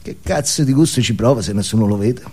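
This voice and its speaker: An actor playing a boastful, crude, foul-mouthed drug dealer in a comic stage monologue, rambling and jumping between confiding and shouting. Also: male voice, mid-range voice, quick pace, slightly rough, natural voice.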